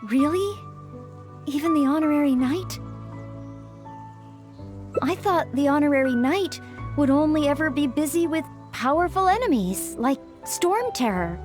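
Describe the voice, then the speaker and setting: A sweet-mannered young maid knight-in-training for a video game, courteous and eager. Her voice sits high and moves with lively, swooping intonation over music. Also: unhurried, long pauses. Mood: earnest. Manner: gentle, courteous, eager, slightly formal.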